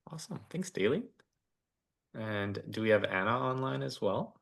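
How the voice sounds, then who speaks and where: A man's voice: lowish voice, lively intonation, moderate pace, creaky, a bit bright. A man moderating an online information session in an informal, spontaneous way. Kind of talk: informal hosting of an online presentation.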